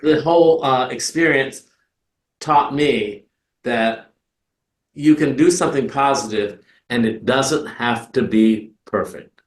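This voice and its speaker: Slow-talking older man, echoey room. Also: medium pitch. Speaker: participant in a community storytelling theatre group. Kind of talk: casual, reflective group conversation. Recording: roomy echo.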